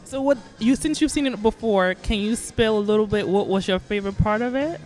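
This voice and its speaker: Woman, TV interviewer, friendly, joking, mid-pitched, faint music. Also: fairly quick, a little mellow. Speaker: interviewer for a community TV channel. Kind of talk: casual vox-pop interviewing.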